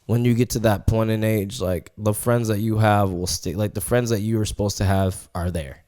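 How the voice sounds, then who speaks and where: A man's voice: on the low side, fairly even intonation, normal speed, darkish tone. A man in his twenties hosting a podcast, chatting casually with lots of fillers and repeated words. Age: 20s. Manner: rambling, full of fillers and repeats. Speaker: podcast host. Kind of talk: casual chat.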